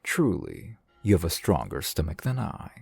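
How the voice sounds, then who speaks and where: A man's voice: low-pitched, wildly swooping pitch, normal speed, creaky, a little mellow, slightly rough, no room sound, soft background music, full character voice. A man playing a courteous, shrewd fantasy shopkeeper in an ASMR roleplay, with formal, eloquent merchant patter. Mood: businesslike, courteous. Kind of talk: ASMR fantasy roleplay, softly acted one-sided dialogue.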